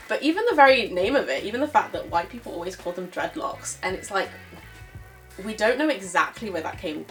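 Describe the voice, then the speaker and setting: This is a woman on a discussion panel. She gives her opinions informally and candidly, explaining as she goes. She is picked up from a little way off, with music playing softly underneath. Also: mid-range voice. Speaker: panel speaker answering audience questions. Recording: distant mic, music underneath.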